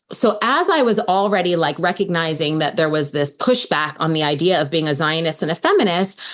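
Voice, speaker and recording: A woman, a law professor raised in the United States whose first language was Hebrew, telling her story earnestly in an interview. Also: low voice. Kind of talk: podcast interview.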